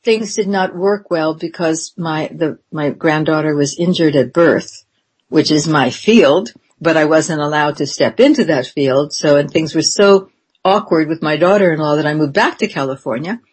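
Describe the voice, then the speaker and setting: A woman in her seventies with a somewhat low, husky voice. She talks in a meandering, reflective way, circling back on her thoughts with ums and restarts. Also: normal speed. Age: 70s. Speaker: interviewee. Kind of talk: reflective conversational interview.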